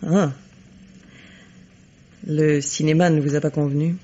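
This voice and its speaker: An actress playing a poised bourgeois lady in a French radio mystery, husky, polite and arch, coolly evasive. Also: sits low, steady tone, measured pace, dark tone. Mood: cool, ironic. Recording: dull old radio recording.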